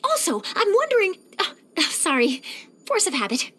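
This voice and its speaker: Casting: young female game character, nosy cheerful journalist, high voice, very animated, theatrical and chatty. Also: normal speed, heavily acted. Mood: excited. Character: young female newspaper reporter, eager, curious, nosy, cheerful. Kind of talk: scripted video game character voice lines.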